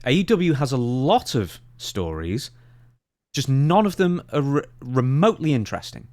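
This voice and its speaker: A man co-hosts a casual talk podcast. His pitch swings widely as he speaks, and he sounds opinionated and exasperated. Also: very animated, normal speed, darkish tone. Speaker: podcast co-host.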